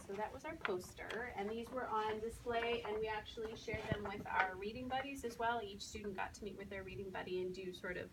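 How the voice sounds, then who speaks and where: A woman's voice: mid-range voice, brisk, few pauses. A woman speaking as a first-grade teacher, explaining things informally to an audience in a step-by-step way. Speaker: first-grade teacher presenting student work. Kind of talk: informal spoken presentation to an audience. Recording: distant mic, faint room noise.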